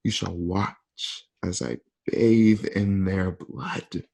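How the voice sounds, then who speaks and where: Man acting slow, menacing Roman emperor. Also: low voice, steady tone, vocal fry, darkish tone, heavily acted. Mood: quiet menace. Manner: slow, theatrical, pausing between phrases. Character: menacing, cruel young Roman emperor, coldly threatening. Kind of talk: amateur radio play acting.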